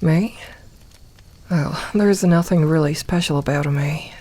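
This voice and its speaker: A woman voicing a gentle, comforting young werewolf in a soft ASMR roleplay, talking intimately and reassuringly to one listener with light humour. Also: low voice, slow pace. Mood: fond, reflective. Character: gentle, comforting young female werewolf, playful self-styled lone wolf.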